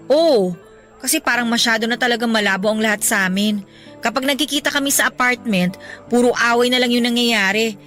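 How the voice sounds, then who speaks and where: A woman's voice: on the high side, a little fast, fairly bright tone, faint music behind, lightly acted. A woman with a Filipino accent playing a stressed young breadwinner who confides her heartbreak plaintively in a Tagalog radio drama. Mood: troubled, frustrated.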